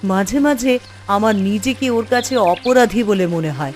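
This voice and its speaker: A woman's voice: medium pitch, a little slow, a little mellow, soft background music, natural voice. A woman voicing a grave, guilt-burdened fairy queen in a fairy-tale cartoon, solemn and reflective. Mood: remorseful.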